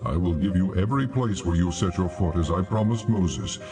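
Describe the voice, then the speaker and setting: God in an audio bible drama, super low solemn commanding voice, music behind. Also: male voice, lively intonation. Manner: solemn, measured, authoritative. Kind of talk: dramatized scripture reading. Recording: music under the voice.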